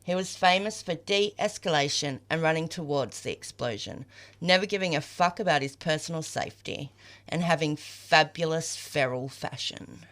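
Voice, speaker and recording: A woman's voice: low voice, normal speed, a bit bright. An Australian woman reading scripted memorial tributes for a podcast.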